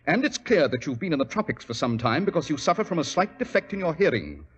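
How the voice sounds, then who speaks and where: An actor playing a cool, superior English gentleman detective with a British accent and crisp, precise manner in a 1940s radio drama. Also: a little high, big pitch swings, quick pace, lo-fi sound, full character voice. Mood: incisive. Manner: crisp, precise, lecturing, unruffled. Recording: old radio recording with faint hiss.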